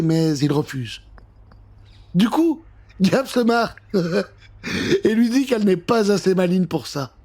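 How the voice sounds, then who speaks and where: High-pitched male children's story narrator. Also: a little mellow, husky. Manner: dramatic, suspenseful delivery. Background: music.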